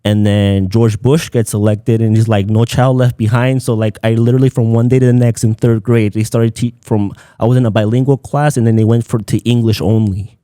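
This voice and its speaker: Man, Spanish speaker, relaxed dark tone, dry close sound, casual podcast storytelling. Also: on the low side, brisk, few pauses, dry recording. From Southern California, United States. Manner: informal, peppered with "like" and Spanish phrases. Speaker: podcast guest, content creator.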